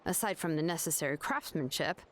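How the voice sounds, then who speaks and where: An actress playing a composed, matter-of-fact young woman artisan in a video game, explaining things in a measured way. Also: low-pitched, animated. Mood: earnest explaining. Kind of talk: video game dialogue acting.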